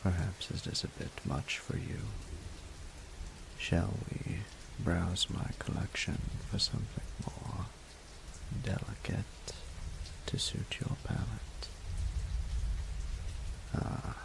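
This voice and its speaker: Deep gravelly voice, male actor as haughty ancient dragon, flirtatious, formal, imperious, roleplay audio. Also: fairly even intonation, noisy background, full character voice. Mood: teasing, flirtatious. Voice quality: breathy, gravelly low voice. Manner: formal, imperious, slow and deliberate.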